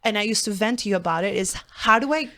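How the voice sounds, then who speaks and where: A young woman raised in Albania talks in a lively, casual way as a podcast co-host. She slips into quoted voices and laughs easily. Her voice is recorded close and dry, with no room sound, and sits at an ordinary middle pitch. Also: quick pace, no room sound. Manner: animated, laughs often, acts out quoted voices. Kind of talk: casual chat.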